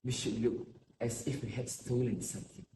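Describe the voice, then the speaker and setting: A man playing a solemn, sorrowful grandfather in his eighties, speaking deliberately as in an amateur stage play. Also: medium pitch, level tone, close to an everyday voice. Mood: sorrowful, resigned. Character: sorrowful, grave old grandfather of nearly eighty-three. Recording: roomy echo with faint noise.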